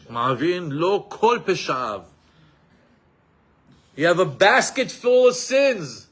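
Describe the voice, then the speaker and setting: Animated New man teaching Torah. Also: on the high side, husky. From Israel, raised in New York. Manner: expressive, emphatic, mixes Hebrew quotes into English.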